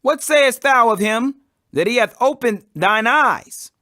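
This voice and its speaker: A man's voice: extremely high pitch, expressive, takes it slowly, pushed and bright, no echo, studio quality, voice pushed up, full character voice. A man voicing stern, proud Pharisees who question and accuse, insistent and declamatory, in a scripture reading. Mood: probing.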